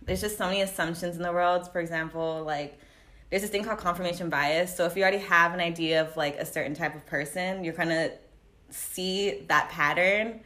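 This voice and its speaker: Young adult woman, American, slightly low voice, informal and thoughtful interviewee. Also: fairly even intonation, a little fast, a bit bright. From United States, Los Angeles. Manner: reflective, informal, frequent "like". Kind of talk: casual personal interview answers.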